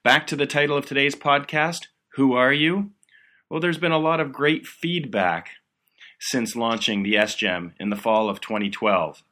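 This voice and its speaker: A man's voice: mid-range voice, normal speed, bright, forceful tone. A man hosting a medical education podcast, explaining with upbeat enthusiasm. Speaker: podcast host.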